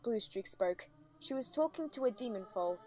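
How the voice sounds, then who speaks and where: A woman's voice: fairly high pitch, expressive, moderate pace, dark tone. Woman narrating a story over faint music.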